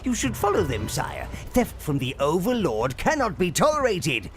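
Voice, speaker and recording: A greedy old minion sidekick from a game, fawning n scheming, voice swoops all over, music behind. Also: male voice, sits high, huge pitch swings, normal speed, a bit bright, heavily acted. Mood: scheming, scornful. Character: sly, greedy old minion advisor to a dark lord. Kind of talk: theatrical video game character acting.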